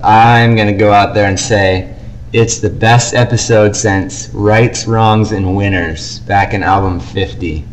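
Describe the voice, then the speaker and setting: A man co-hosting a podcast, giving casual, measured reviews in a conversational, hedging way. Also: fairly low pitch, slight background noise, rough recording. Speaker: podcast co-host.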